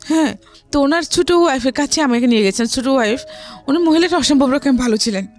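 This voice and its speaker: High-voiced Bangladeshi woman telling her story. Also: expressive, fast talker. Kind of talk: personal storytelling in conversation.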